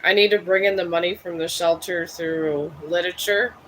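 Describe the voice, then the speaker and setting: A man in his fifties from Ohio, chatting casually with viewers on a live stream in a rambling, musing, self-deprecating way, a bit high. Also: fairly even intonation, moderate pace, a bit bright, a little hiss or hum, low-quality recording. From Cleveland, Ohio. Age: 50s.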